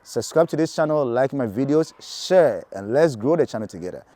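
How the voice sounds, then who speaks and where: A man's voice: medium pitch, lively intonation, a little fast, mellow, no echo. A man from Ghana hosting his channel and asking passers-by questions on a city street. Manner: upbeat, promotional. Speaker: YouTube host, street interviewer.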